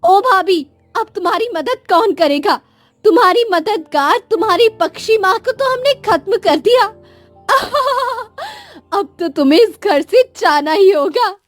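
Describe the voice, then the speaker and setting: Cartoon villain in-law, performed by a woman: haughty, spiteful, high female voice over faint music. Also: animated, slow pace, exaggerated voice. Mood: gloating. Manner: haughty, scornful. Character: scheming, scornful mother-in-law and spiteful daughters. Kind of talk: cartoon moral story acting.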